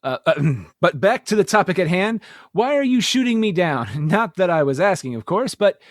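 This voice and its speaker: A man's voice: high voice, big pitch swings, moderate pace, no echo, clean studio sound. A man playing an earnest, boyishly romantic starship captain who stammers sheepishly in a comedic sci-fi radio play. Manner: stammering, throat-clearing, sheepish.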